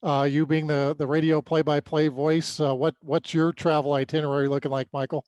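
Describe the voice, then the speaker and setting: A man with an American accent co-hosts a sports podcast in conversational style. His voice sits a little high and is recorded dry, with very little room sound. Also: level tone, fairly quick, a little mellow, no room sound.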